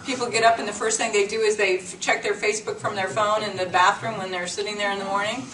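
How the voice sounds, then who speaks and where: A woman's voice: mid-range voice, fairly even intonation, quick pace. A woman giving an informal, conversational workshop presentation to a small group, explaining things plainly. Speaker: presenter at a business meetup. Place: meeting room with a small group. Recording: roomy, distant mic with clear noise.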